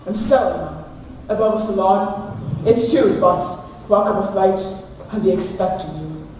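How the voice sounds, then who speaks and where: A woman's voice: medium pitch, a little slow, a little mellow, lightly acted. A young woman playing a bitter, drunken, jilted man with wounded sarcasm in a stage drama. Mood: resigned, bitter. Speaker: student actor in a school play. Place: large hall at a school stage event. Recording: echoey hall from far off, faint noise.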